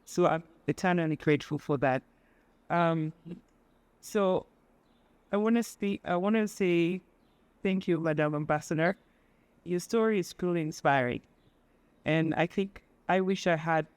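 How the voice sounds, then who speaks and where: A woman from Sudan, giving an earnest, measured speech as a guest speaker, pausing often with fillers. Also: low-pitched, darkish tone, no room sound. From South Sudan. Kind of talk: public speech at an event.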